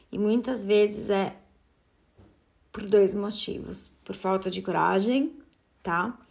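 Thirties woman hosting her podcast, slight italian accent, gravelly fry in the voice, chatty and heartfelt. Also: mid-range voice, darkish tone. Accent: Italian-tinged Portuguese. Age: 30s. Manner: chatty, emotional, with filler words and restarts. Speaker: podcast host. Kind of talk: casual solo podcast talk.

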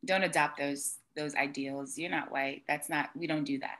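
A woman's voice: sits low, steady tone, normal speed, a bit bright. A woman hosting a podcast, speaking in an empathetic, conversational way and affirming her guest often. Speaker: podcast host. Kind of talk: conversational interview.

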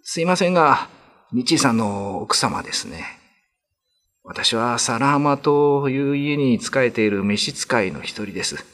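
A man's voice: mid-range voice, expressive, unhurried, fairly bright tone, big-room sound. An actor playing a deferential male servant in a radio drama, speaking in a formal, hesitant, confiding manner. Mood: secretive, deferential. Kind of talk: Japanese radio drama acting.

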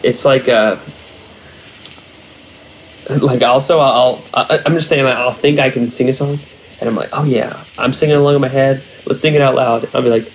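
A young man in casual conversation, wandering through his thoughts with plenty of fillers and false starts. His pitch sits in an ordinary middle range. A faint noise hangs under the recording. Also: fairly even intonation, moderate pace, poor audio. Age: young adult. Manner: rambling, many fillers and restarts. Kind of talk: casual chat.

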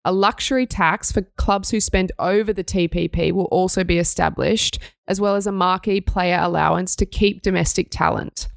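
A woman's voice: fairly low pitch, fairly even intonation, moderate pace, gravelly, hardly any pauses, dry recording. A young Australian woman co-hosting a sports news podcast, reading stories and chatting in an upbeat way. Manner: upbeat, reads news items then chats. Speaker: podcast co-host.

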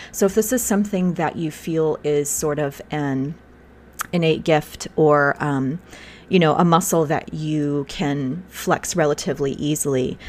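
A woman hosting a podcast in a casual, rambling way, slightly hoarse, sometimes reading aloud from a website. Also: deep voice, darkish tone, a little hiss or hum. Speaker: podcast host. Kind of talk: casual spiritual podcast talk.

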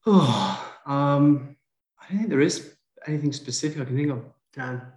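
A man's voice: medium pitch, normal speed, a little mellow. A man from Liverpool, a GP, chatting as a podcast guest and telling stories in a self-deprecating way with plenty of fillers. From Liverpool, England. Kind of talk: casual conversation. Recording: roomy echo.

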